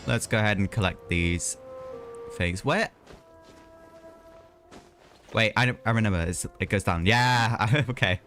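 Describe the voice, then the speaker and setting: Casting: male game streamer, lowish voice, casual rambling chat, music playing behind him. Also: measured pace, pauses often, a bit bright. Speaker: live streamer. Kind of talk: casual gaming livestream chat.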